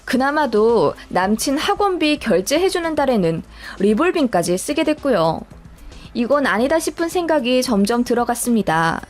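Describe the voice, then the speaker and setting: A woman's voice: a little high, very animated, normal speed. A woman reading a scripted first-person personal story as a narrator, in a storytelling style. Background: background music.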